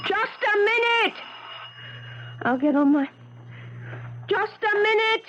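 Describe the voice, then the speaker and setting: Intense, high-voiced embittered woman scientist. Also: background noise, full character voice. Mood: groggy, flustered. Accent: American. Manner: intense, theatrical, emphatic. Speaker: actor in a radio mystery drama. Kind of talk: 1970s American radio drama acting. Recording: dull 1970s radio broadcast, music behind.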